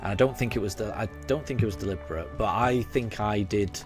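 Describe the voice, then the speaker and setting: A man's voice: fairly low pitch, fairly quick. A man in his forties from Yorkshire with a faded Yorkshire accent, chatting hesitantly with his live-stream chat over many ums and restarts while he plays. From Yorkshire, England. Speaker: game streamer. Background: game music.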